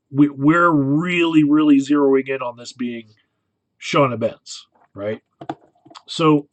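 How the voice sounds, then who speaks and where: Male video commentator, on the higher side, casual and explanatory, checks in with listeners often, very dry sound. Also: no echo. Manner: conversational, frequently checks in with "right?". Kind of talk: casual analytical commentary comparing images.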